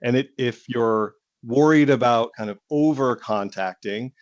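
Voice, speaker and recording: A man presenting business software in an explanatory way, with somewhat hesitant phrasing. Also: mid-range voice, unhurried, no echo, lo-fi sound. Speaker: webinar presenter.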